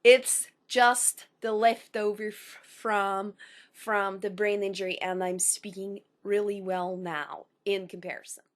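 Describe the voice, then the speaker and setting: A woman in her thirties with slightly unusual speech, at times taken for a foreign accent, introducing and performing a spoken word poem with careful phrasing and pauses. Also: medium pitch, steady tone. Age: 30s.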